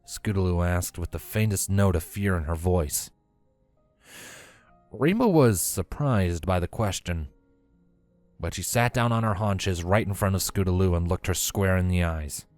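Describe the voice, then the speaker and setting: Low-voiced man narrating a story, dry sound. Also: a little slow, a little mellow, dry recording, soft background music. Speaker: fan fiction reader, narrator.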